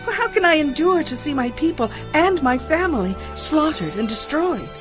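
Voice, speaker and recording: A woman's voice: high-pitched, big pitch swings, moderate pace, over music. An actress playing an earnest, deferential queen in a scripture audio drama, speaking formally and imploringly. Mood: pleading, anguished.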